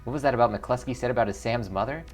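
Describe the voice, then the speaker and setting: A man's voice: mid-range voice, very fast, soft background music, natural voice. A man voicing a cool, loyal newcomer investigator, the player hero of a video game, plain and questioning. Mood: inquiring.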